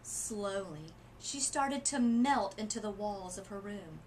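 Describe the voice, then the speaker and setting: A woman reading a children's story aloud expressively, with deliberate, dramatic phrasing. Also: medium pitch, lively intonation, darkish tone. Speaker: literacy coach reading a picture book aloud. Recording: noisy room ambience.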